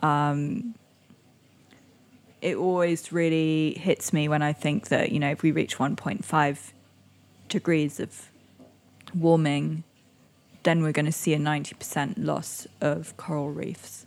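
A young woman who grew up in Indonesia, answering interview questions in a reflective way with many pauses and soft laughs. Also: sits low, little pitch movement. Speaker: interviewee, climate activist. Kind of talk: conversational interview.

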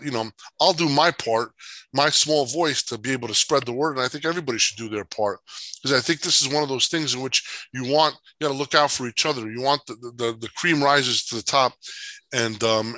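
A man's voice: medium pitch, bright, forceful tone, no room sound, low-quality recording. A man hosting a casual interview, rambling through long analogies with many fillers and restarts. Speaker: podcast host.

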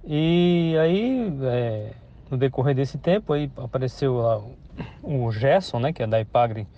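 A man's voice: medium pitch, animated, unhurried. A man, a farmer telling his story casually with hesitations and restarts. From Santa Catarina, Brazil. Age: 50s. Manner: hesitant, many restarts and tag questions. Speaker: farmer interviewee. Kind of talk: casual spoken account. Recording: dull lo-fi radio interview sound.

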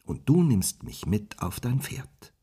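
A man voicing a naive young maiden in a fairy tale, soft and earnest. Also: fairly low pitch, wildly swooping pitch, takes it slowly, husky, exaggerated voice.

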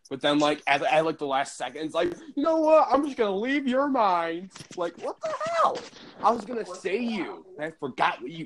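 A man's voice: a little high, a little hiss or hum. A teenage boy in a casual chat, rambling and hesitant, often trailing off mid-thought. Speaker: co-host friend.

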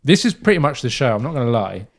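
A man's voice: mid-range voice, big pitch swings, quick pace. A man in his thirties co-hosting a podcast, telling a rambling story in a self-mocking, casual way. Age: 30s. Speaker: podcast co-host. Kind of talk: casual chat. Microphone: studio microphone.

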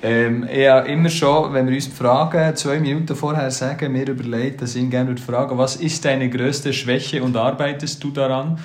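A man's voice: medium pitch, steady tone, normal speed, hardly any pauses. Male podcast co-host, curious interviewer, echoey room. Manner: curious, probing questions, casual slang. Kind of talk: casual podcast chat. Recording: roomy echoey sound.

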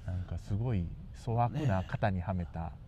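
A man's voice: low voice, normal speed, mellow. A man with a Kansai Japanese accent chatting casually and comically as a radio co-host, mixing polite speech with dialect. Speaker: radio talk show co-host. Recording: dull lo-fi recording with faint noise.

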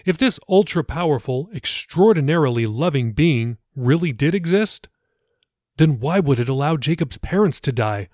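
A man's voice: fairly high pitch, expressive, normal speed, darkish tone, no room sound, studio quality. A man reading his own fiction audiobook as a scripted narration.

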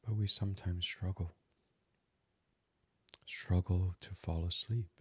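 Very low, slow, hushed man guiding sleep. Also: fairly even intonation, slightly rough, no echo. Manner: hushed, soothing delivery slipping into near-whisper. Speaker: meditation podcast host. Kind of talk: guided sleep meditation, ASMR.

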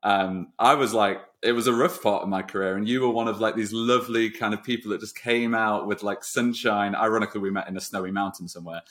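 A man's voice: on the low side, fairly even intonation, quick pace, hardly any pauses, fairly bright tone. A man hosting a podcast in casual, jokey interview chat, with effusive, informal talk. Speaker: podcast host.

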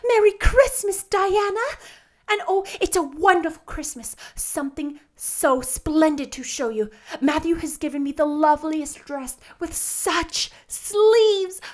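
A woman reading a storybook as an excitable, gushing young girl who exclaims with romantic gratitude. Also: high voice, a little slow, pitched above the performer's own voice. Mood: excited. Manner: effusive, rapturous exclamations. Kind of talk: storybook narration character voice.

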